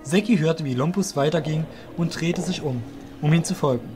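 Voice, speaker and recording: A man's voice: fairly high pitch, moderate pace, music in the background. A man narrating a scripted audio drama as its storyteller.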